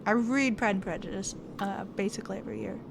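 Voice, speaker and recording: A woman's voice: medium pitch, fairly even intonation, fast, gravelly, background noise. A woman streamer casually thinking aloud while playing a game and reading text off the screen. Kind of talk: casual let's-play commentary. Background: game music.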